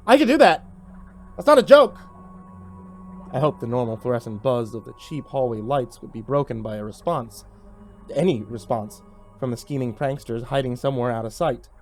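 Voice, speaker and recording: A man giving a theatrical, expressive first-person narration of a horror story, acting out the dialogue. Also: medium pitch.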